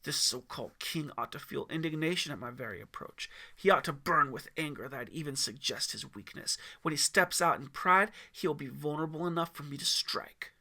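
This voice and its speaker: A man's voice: on the high side, expressive, brisk, hardly any pauses, bright, forceful tone, heavily acted. A man voicing Lucifer as a sly, hateful, condescending villain in an audiobook story. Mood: brooding scheming. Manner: menacing, scheming, condescending. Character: sly, hateful dragon Lucifer in human form, taunting villain.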